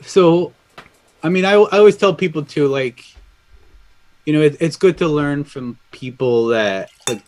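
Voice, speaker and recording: A man in his thirties from Colombia, a guest in a casual interview, speaking in a relaxed, conversational way with lots of fillers. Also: on the high side, a little slow. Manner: relaxed and conversational, frequent "like" and "dude". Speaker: audio engineer guest.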